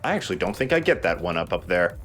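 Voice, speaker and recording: A man's voice: sits low, very animated, quick pace, a bit bright, faint music behind. A man giving casual gameplay commentary as host of a let's play, explaining mechanics as he goes. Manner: explains game mechanics as he plays.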